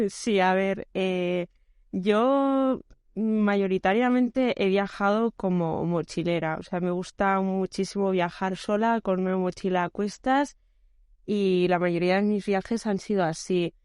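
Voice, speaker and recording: A woman's voice: medium pitch, takes it slowly, a little mellow, clear, resonant tone, no room sound, studio quality. A woman talking as a guest on a casual podcast, easygoing and searching for her words as she goes. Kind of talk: casual conversational interview.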